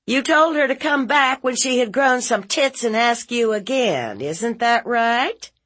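A woman narrator acts out a fierce storybook aunt, blunt and protective. Her pitch rides high and swings widely as she interrogates and scolds with folksy force, and the voice has some husk in it. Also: animated, measured pace, fairly bright tone. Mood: outraged scolding.

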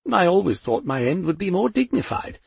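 A man's voice: high voice, very animated, brisk, gravelly, breathy edge, no echo, pitched above the performer's own voice, heavily acted. A man voicing a feisty, foul-mouthed older aunt who grumbles and demands answers in an audiobook. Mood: indignant. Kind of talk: audiobook character voice.

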